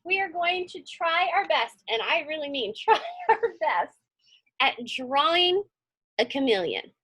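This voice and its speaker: A woman's voice: sits high, very animated, measured pace, fairly bright tone. A woman reading a storybook aloud and chatting informally to children as their teacher, encouraging and often putting questions to her listeners. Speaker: teacher hosting a children's read-along.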